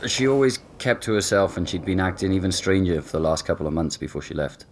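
An actor playing an easygoing young boyfriend being interviewed at home, casual and hesitant in a naturalistic audio drama. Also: male voice, deep voice, animated, quick pace, slight background noise. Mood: candid, puzzled.

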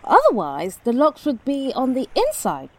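Very high-voiced, earnest, prim sleuth. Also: female voice, wildly swooping pitch, moderate pace, exaggerated voice. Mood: curious. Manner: polite, quaint, earnest. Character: earnest, eccentric, prim female amateur sleuth with magical senses. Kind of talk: audio drama acting.

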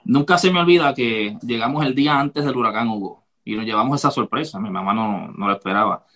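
A man hosting a podcast, telling a childhood story in a relaxed, casual way and laughing easily. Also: medium pitch, fairly quick. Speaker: podcast host. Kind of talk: casual conversation.

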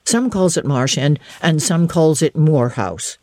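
A woman's voice: deep voice, lively intonation, unhurried, warm tone, dry recording, studio quality. A woman voicing a plain-spoken, homely old servant woman with a Northern English dialect, in a dramatic audiobook reading. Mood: blunt, homely.

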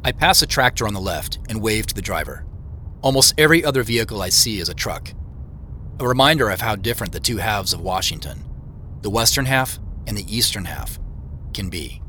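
An actor playing a thoughtful, nervous man, narrating an audio drama in the first person in a measured, intimate way. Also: lowish voice, lively intonation, sharp tone, slight background noise. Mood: pensive, uneasy. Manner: measured, reflective, intimate storytelling. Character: Joseph, thoughtful, nervous man retracing family memories. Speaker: narrator and lead character. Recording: music under the voice.

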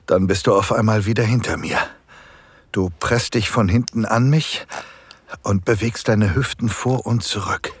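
Casting: male actor as submissive, aroused husband in erotic audio drama; very husky, breathy, slow and hushed delivery. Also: fairly low pitch, dry recording. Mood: tense anticipation. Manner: slow, hushed, halting with moans. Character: submissive married man, construction foreman, eager, aroused, pleading. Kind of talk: intimate first-person erotic audio drama narration.

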